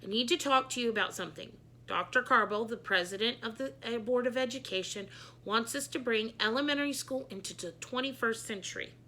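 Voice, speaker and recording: A woman's voice: on the high side, a little fast, sharp tone. A woman voicing a corny, jolly male school principal in a children's storybook. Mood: earnest, upbeat. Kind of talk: children's book read-aloud.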